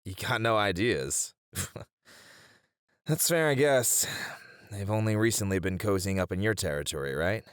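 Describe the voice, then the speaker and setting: A man's voice: lowish voice, lively intonation, measured pace, pauses often, clean tone, no room sound, studio quality. A man playing a flirty, cheeky hare-eared mafia boss in an ASMR roleplay, teasing and playful as he charms his rivals. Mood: sly, casual.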